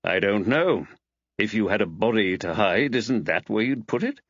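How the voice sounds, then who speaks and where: A man voicing an inquisitive, calmly speculating man in conversational audiobook dialogue. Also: fairly low pitch, moderate pace, dry recording, dull audio. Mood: speculative.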